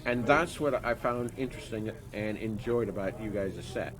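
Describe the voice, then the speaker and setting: A man's voice: lowish voice, moderate pace, a crowd in the background, poor audio. A man casually interviewing a band, joking and rambling as he goes.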